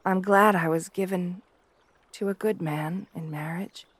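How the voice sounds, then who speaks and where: A woman playing a shy, gentle, devoted young princess bride in an ASMR roleplay, speaking softly and hesitantly, with deference. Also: fairly low pitch, fairly even intonation, measured pace, husky, dry recording. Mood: earnest, anxious.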